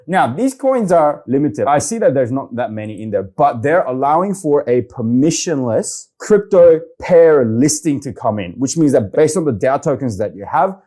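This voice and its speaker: A man from Korea, hosting a casual, excitable screen-share walkthrough of a website and saying "okay" a lot. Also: mid-range voice. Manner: excitable, chatty, says okay often. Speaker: crypto video host.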